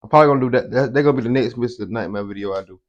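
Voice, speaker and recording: A man's voice: mid-range voice, very fast, dull audio. Slangy casual young man reacting. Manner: slangy, loose and chatty. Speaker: reaction video host.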